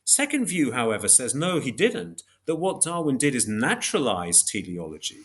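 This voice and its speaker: A man giving an academic explanation in an interview, measured and hesitant, working step by step through examples. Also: mid-range voice, wildly swooping pitch, fairly bright tone. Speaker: podcast guest, philosopher of biology.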